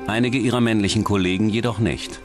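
Deep-voiced male true-crime narrator. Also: fairly even intonation, quick pace. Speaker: documentary narrator. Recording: music bed under the voice. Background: background music.

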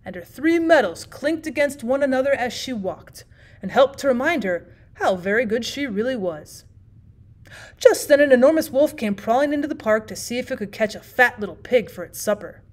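A woman's voice: on the high side, expressive, normal speed. A woman narrating a short story expressively, as a teacher reading aloud for young listeners.

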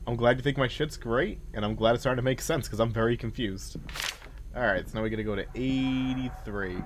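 A man's voice: medium pitch, a little fast, a little hiss or hum. A man doing casual playthrough commentary as a gaming host, thinking aloud and talking back to game characters. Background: game ambience.

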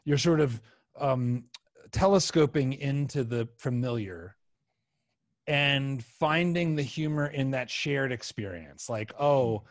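A middle-aged man hosting a casual podcast, explaining ideas in a halting way as he thinks aloud. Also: medium pitch, dry recording, clean studio sound. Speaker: podcast host, video editor. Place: indoor room, video call. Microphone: podcast mic on an arm, headphones.

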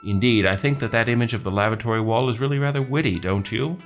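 An actor playing a glib, unfazed, showy aesthete in a radio story, with a pompous, airy manner. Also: male voice, mid-range voice, fast talker, close to an everyday voice. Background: music.

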